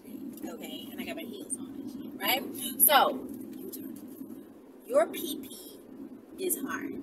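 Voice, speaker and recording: A woman chatting casually in a rambling storytime to her followers, animated, slangy and profane, often tagging her sentences with little check-in questions. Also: a little high. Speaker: vlogger telling stories to followers. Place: inside a car while driving. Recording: noisy moving car, distant mic.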